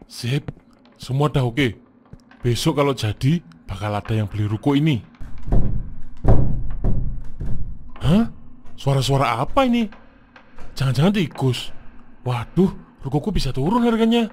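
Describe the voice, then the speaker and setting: A man's voice: medium pitch, animated, moderate pace, long pauses, background noise, lightly acted. A man voicing a greedy, smug property boss, a gloating and mocking villain in a comic horror cartoon. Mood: fretful. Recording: music playing behind.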